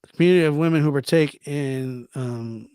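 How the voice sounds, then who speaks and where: Casual male livestreamer, slightly high-pitched, dry sound. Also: normal speed, darkish tone, clean tone, no echo. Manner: informal, teasing, repeats himself. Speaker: livestream host. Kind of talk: casual live chat commentary, reading and answering viewer comments.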